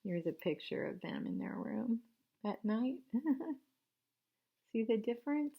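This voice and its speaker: A woman reading a picture book aloud to children like a teacher, expressively, pausing for simple explanations and questions. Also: on the high side, sing-song, creaky, dull audio, a little hiss or hum. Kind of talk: read-aloud storytelling with asides and questions to young listeners.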